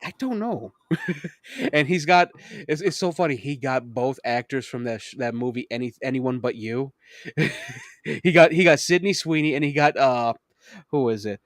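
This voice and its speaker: A man co-hosting a casual podcast, talking excitedly, laughing often and swearing freely. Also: medium pitch, normal speed, dry recording, studio quality. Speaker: podcast co-host. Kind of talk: casual chat.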